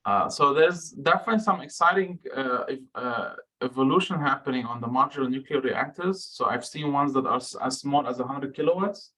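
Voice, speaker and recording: Frank, halting man from Lebanon. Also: mid-range voice, pushed and bright. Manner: frank, halting with many fillers and restarts. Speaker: guest speaker, microgrid researcher. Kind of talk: answering audience questions.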